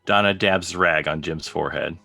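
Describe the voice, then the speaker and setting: A man's voice: low voice, fairly quick, sharp tone. A man reading scripted drama narration as the narrator on a video call. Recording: video call under clear music.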